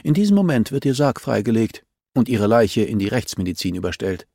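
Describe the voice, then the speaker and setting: A man voicing a cool, relentless policewoman in a crime audiobook, calm and insistent as she accuses a suspect. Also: fairly low pitch, fairly quick, no echo, studio quality, voice pushed up. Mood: calm pressure.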